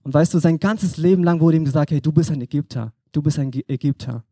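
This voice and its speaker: Man, young adult, from Germany, informal sermon, conversational, direct address, mellow tone, dry recording. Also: a little high, fast, dry recording. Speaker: preacher.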